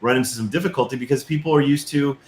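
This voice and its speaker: A man's voice: medium pitch, racing, darkish tone. Earnest man in a roomy, noisy recording. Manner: earnest, repeats words as he starts sentences. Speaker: guest filmmaker. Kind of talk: casual interview conversation. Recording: roomy echo, background noise.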